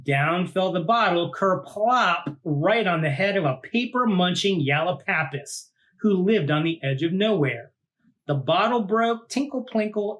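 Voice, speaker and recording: Higher-pitched man reading a storybook. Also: fairly bright tone. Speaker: teacher reading a picture book aloud. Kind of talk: animated storybook read-aloud for children.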